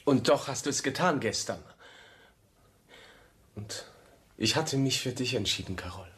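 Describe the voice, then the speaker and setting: An actor playing an honest, self-doubting young architect in a radio drama, speaking in a halting, pleading way. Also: male voice, mid-range voice, lively intonation, fairly bright tone. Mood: earnest confession. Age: young adult. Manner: halting, repeats phrases, pleading. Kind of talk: German radio play acting, intimate dialogue.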